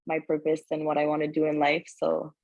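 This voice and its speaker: A woman's voice: low-pitched, monotone, a little fast, creaky, a little mellow, no echo, low-quality recording. A woman from Canada, a guest on an interview, talking frankly and directly with lots of "like". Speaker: guest athlete. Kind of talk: casual interview chat.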